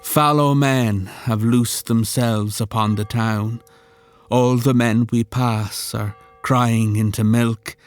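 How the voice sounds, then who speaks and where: A man narrating a first-person horror story in a deliberate, sombre storytelling manner. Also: mid-range voice, steady tone, clear, resonant tone, soft background music.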